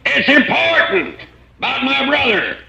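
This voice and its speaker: An actor playing an easygoing American rancher in an old-time radio drama, speaking in a folksy way. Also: male voice, very high-pitched, big pitch swings, a little slow, vocal fry, heavily acted. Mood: calling out. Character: folksy, easygoing rancher, brother of the dead man. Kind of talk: 1940s-50s radio acting. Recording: crackly muffled old radio recording.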